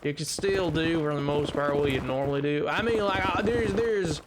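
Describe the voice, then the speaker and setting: A man's voice: on the high side, normal speed, heavy background noise. A man with a Southern-style American drawl, chatting casually and ramblingly with viewers as a livestreamer. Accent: Southern-style US drawl, put on. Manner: rambling, hesitant explanations. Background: music.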